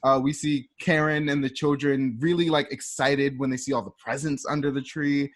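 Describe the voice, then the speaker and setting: A man's voice: mid-range voice, steady tone, normal speed. A man with a conversational, colloquial manner, narrating the action of a radio play in a casual style.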